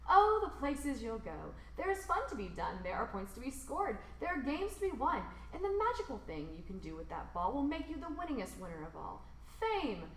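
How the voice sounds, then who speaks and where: A woman with a fairly high, very lively voice reads a rhyming picture book aloud to children. She leans into the rhymes with theatrical emphasis, stretching words for drama. The recording has a clearly roomy echo. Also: brisk, hardly any pauses, a little hiss or hum. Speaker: storybook reader.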